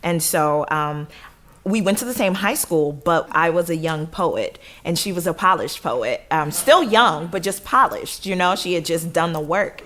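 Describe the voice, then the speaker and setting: An American woman from Detroit speaking as an interview guest, casual, effusive and expressive. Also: lowish voice, unhurried. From Detroit, United States. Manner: effusive, expressive, laughs easily. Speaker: theater director, interview guest.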